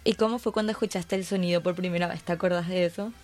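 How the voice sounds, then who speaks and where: A woman's voice: on the low side, fairly even intonation, fast talker, darkish tone, soft background music. A woman with a Paraguayan Spanish accent presenting a live radio show and interviewing as its host.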